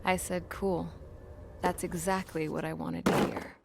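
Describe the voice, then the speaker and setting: A woman's voice: on the low side, level tone, measured pace, gravelly. An actress playing a cocky, resourceful young prisoner, speaking casually and wryly in a game cutscene, with noise behind her. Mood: cool, sly. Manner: casual, slangy, wry. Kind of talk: video game cutscene narration and dialogue.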